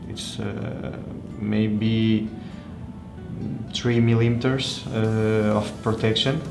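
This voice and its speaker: Halting man over music in an echoey room. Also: medium pitch. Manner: halting, hesitant delivery with frequent pauses and repeated words. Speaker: tech reviewer presenting a phone. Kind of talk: unscripted hands-on product review.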